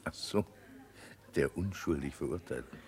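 An actor playing an affable but evasive governor, a high official, in a classic German radio play. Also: male voice, normal speed, a little mellow. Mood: guarded, obliging. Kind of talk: mid-century German radio play acting.

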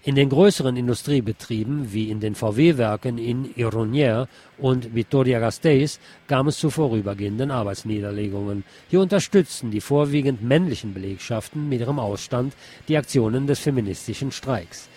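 A man reads a printed news report aloud for his radio show. His delivery is steady and measured, with a voice of middling pitch. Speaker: radio host reading a news article.

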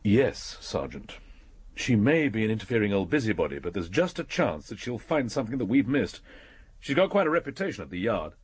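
An actor playing a courteous, dry, mildly sceptical English police inspector in a radio detective drama, explaining things in a matter-of-fact way. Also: male voice, on the low side, very animated, brisk, husky. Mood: businesslike.

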